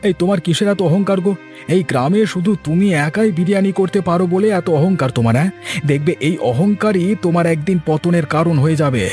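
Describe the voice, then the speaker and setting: A man's voice: sits high, fast talker, a little mellow, soft background music, natural voice. A man voicing a good-natured, hard-working poor village fisherman in a cartoon moral story, with a folksy way of thinking aloud. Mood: indignant. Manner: talks to himself aloud, folksy. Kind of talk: animated moral story voice acting.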